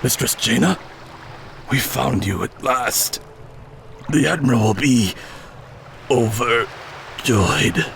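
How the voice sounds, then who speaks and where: Slow, creaky dying marine. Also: male voice, mid-range voice, fairly bright tone, slight background noise. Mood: fading, relieved. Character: dying loyal marine gasping out a report. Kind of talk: video game cutscene acting.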